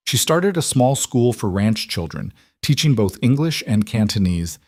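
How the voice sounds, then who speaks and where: A man narrating a scripted story in a measured, even way. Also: on the low side, no room sound, studio quality.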